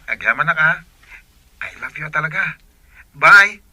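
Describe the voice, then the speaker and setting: A man's voice: fairly high pitch, lively intonation, a little slow, pushed and bright, dry recording, heavily acted. A man with an Ilocano Filipino accent playing a coaxing, evasive young boyfriend in a radio drama, casual in manner.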